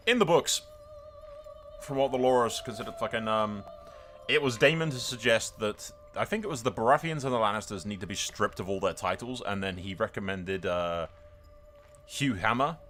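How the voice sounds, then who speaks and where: A man's voice: lowish voice, sharp tone. A man hosting a let's play in a casual, sweary, sarcastic way, with theatrical storytelling flourishes. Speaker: gaming video host. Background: music.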